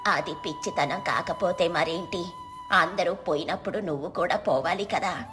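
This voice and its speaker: A woman's voice: lowish voice, normal speed, over music, pitched below the performer's own voice, lightly acted. A woman voicing a timid, worried old village woman who sells vegetables and complains fretfully.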